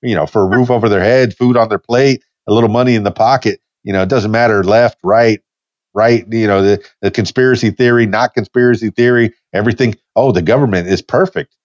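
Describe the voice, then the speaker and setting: A man's voice: on the low side, fairly quick, no echo. Man in his fifties, podcast host, easygoing, rambling, dry dull sound. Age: 50s. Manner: easygoing, rambling with many restarts. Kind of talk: casual podcast chat.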